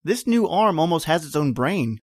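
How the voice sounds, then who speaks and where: Chummy workshop sidekick, medium-high delivery, casual and clipped, old-time radio drama style. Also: male voice, big pitch swings, moderate pace, noisy background. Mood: curious, joking. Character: casual, chummy male workshop assistant to an inventor.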